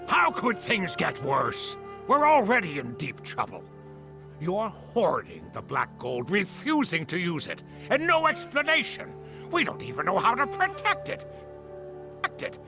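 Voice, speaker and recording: An actor playing a hot-tempered, defiant sect member in a video game, biting and accusatory. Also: male voice, high-pitched, huge pitch swings, measured pace, vocal fry, music in the background, heavily acted. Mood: angry, accusing. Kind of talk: dramatic video game acting.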